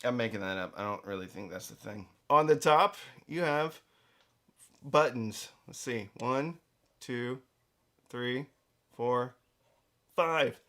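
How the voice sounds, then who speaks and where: A man's voice: mid-range voice, takes it slowly, pauses often, a bit bright. A man presenting a product review in a casual, conversational way, with emphatic stresses and playful asides. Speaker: reviewer and host of a budget audio gear channel.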